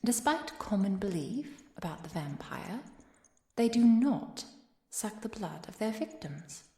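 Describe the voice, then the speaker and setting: Woman acting as soft, husky, composed lady professor. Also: mid-range voice, very animated, dry recording, clean studio sound, exaggerated voice. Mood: scholarly lecturing. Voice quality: soft, often whispery. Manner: measured, precise, softly commanding. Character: composed, caring woman professor who secretly hunts vampires. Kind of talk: ASMR roleplay.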